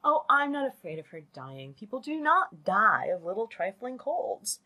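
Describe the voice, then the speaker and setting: A woman voicing a fussy, excitable middle-aged mother in a storybook, gushing and emphatic. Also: fairly high pitch, normal speed, pitched above the performer's own voice, heavily acted. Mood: breezy.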